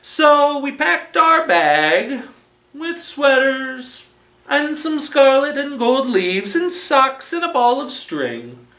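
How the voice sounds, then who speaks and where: A children's library storytime presenter reading a story aloud in a deliberate, expressive way, recorded in a roomy space at some distance from the microphone. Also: high-pitched. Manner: slow, deliberate, expressive read-aloud delivery. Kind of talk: animated storytelling with felt board.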